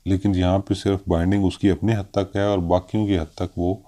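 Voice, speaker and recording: A man with a Pakistani accent giving an explanatory legal lecture, haltingly and with frequent hesitations, mixing in English terms. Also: sits low, level tone, mellow. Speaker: law lecturer.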